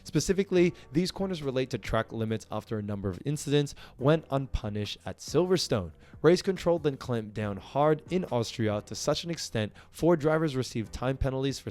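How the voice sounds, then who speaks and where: A man's voice: medium pitch, a little mellow. A man presenting motorsport news, mixing scripted narration with casual commentary.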